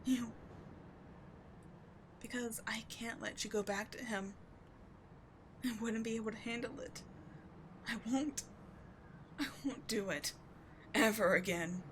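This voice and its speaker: A woman playing an obsessive, devoted villain in a dramatic ASMR roleplay, speaking with theatrical intensity that moves between forceful and hushed. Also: fairly high pitch, takes it slowly, long pauses, a little hiss or hum. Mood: wounded, resolute. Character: obsessive, devoted female villain wooing a wounded sidekick.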